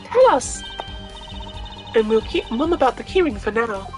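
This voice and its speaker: Woman putting on a high, excitable young girl sidekick. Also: measured pace, soft background music. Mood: eager, insistent. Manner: squeaky, exclaiming, theatrical.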